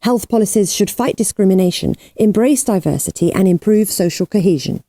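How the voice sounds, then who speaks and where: A woman reading scripted documentary narration in a measured, formal way. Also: medium pitch, warm tone, no echo, studio quality. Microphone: studio voice-over.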